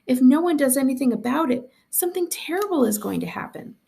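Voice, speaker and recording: Woman voicing an agitated, defiant schoolboy, creaky-voiced. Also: sits high, expressive, quick pace, mellow, pitched above the performer's own voice. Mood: agitated, urgent. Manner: urgent, insistent. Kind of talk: storybook dialogue read aloud.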